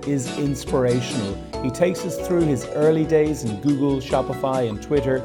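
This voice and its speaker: Male podcast host, conference setting, halting questions, loud music underneath. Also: medium pitch. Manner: hesitant, many fillers. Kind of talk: conference interview. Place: conference venue. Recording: music playing behind.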